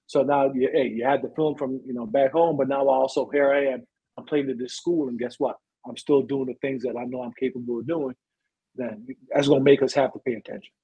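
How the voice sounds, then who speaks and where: A man's voice: medium pitch, fairly even intonation, brisk, breathy edge. A man who coaches football, giving conversational advice in an explanatory way, checking each point with "right" and "you know". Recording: dull, lo-fi sound.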